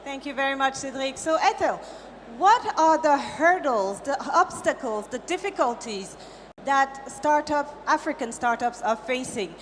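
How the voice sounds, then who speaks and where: A woman moderating a conference panel, asking slow and deliberate questions. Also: sits high, expressive, a bit bright. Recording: conference hall reverb, faint noise.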